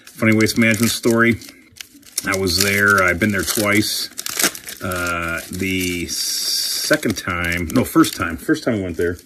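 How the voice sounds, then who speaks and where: A man chatting casually and excitably while opening trading card packs, swearing now and then. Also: deep voice, measured pace, heavy background noise. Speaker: career coach and card collector host.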